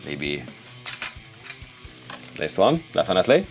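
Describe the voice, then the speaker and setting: Hungarian-accented man, relaxed and rambling. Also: deep voice, slow pace, faint music behind. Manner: relaxed, rambling with many fillers and restarts. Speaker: guitarist and YouTube host. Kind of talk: casual Q&A to camera.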